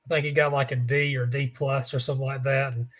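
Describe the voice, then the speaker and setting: A man with a raspy voice, co-hosting a casual sports talk show and telling stories with lots of restarts and fillers. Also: medium pitch, flat delivery, fast talker, fairly bright tone. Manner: rambling storyteller, many restarts and fillers. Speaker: co-host.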